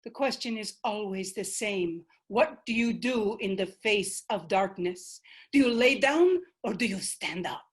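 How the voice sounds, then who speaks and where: A woman's voice: medium pitch, expressive, measured pace, hardly any pauses, husky. A woman voicing the fierce spirit of an ancient female ancestor, stern and commanding in a one-woman stage monologue.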